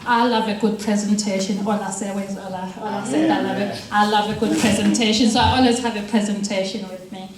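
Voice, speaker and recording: A woman's voice: mid-range voice, fairly even intonation, brisk, hardly any pauses, far from the mic, a crowd in the background. Chatty woman in an echoey hall. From Zimbabwe. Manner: chatty, joking with the audience. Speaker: ambulance service equality lead presenting. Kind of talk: community presentation.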